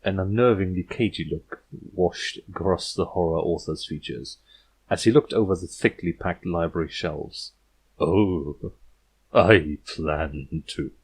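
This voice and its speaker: A man's voice: sits low, slightly rough. A man reading scripted fiction aloud as an audiobook narrator.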